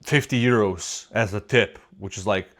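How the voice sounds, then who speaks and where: A man's voice: on the low side, normal speed, fairly bright tone. A man from Europe whose first language is not English, reacting to a video with rambling, swear-filled casual commentary. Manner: rambling, swears freely, trails off.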